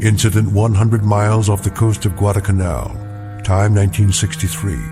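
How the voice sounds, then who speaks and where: A man narrating an eerie radio anthology, measured and portentous. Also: fairly low pitch, fairly even intonation, loud music behind the voice, close to an everyday voice. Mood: ominous. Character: ominous storyteller of an eerie anthology. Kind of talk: dramatic scripted narration.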